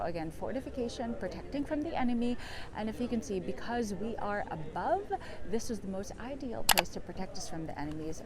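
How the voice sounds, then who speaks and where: Chatty woman guiding a walking tour. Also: fairly high pitch. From Philippines. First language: Tagalog. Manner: chatty, loose, with many fillers like "like" and "actually"; walking while talking. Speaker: tour guide. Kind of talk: casual walking-tour vlog narration. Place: outdoors in a city's streets and harbour lookout. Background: background music.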